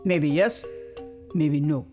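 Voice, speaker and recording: Woman voicing a slow, skeptical Liberian customs man. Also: low voice, over music, voice pushed down. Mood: sly, hinting. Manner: slow, deliberate speech. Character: skeptical, sly Liberian male customs official fishing for bribe. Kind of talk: dramatised audio memoir.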